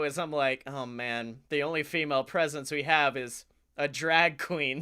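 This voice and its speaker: Bright, higher-pitched man, excitable podcast co-host. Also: clean tone. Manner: excitable, profane. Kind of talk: casual comedic brainstorming chat.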